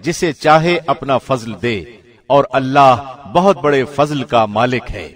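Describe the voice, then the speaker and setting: A man reading a scripture translation like a radio presenter, formal, solemn and measured. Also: medium pitch, expressive.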